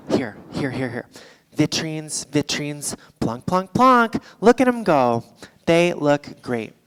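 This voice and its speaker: An actress playing an irritable, foul-mouthed, sardonic game streamer in a live staged reading. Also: female voice, sits low, expressive, slow, clean tone. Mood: exasperated. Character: irritable, foul-mouthed woman video-game livestreamer addressing chat. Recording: echoey theatre hall, live stage.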